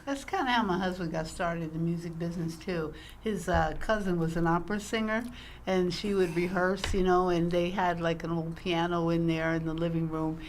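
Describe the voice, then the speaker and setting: A woman's voice: lowish voice, fairly even intonation, moderate pace, slight background noise, low-quality recording. A woman co-hosting an interview, chatting casually and telling a story of her own. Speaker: co-host.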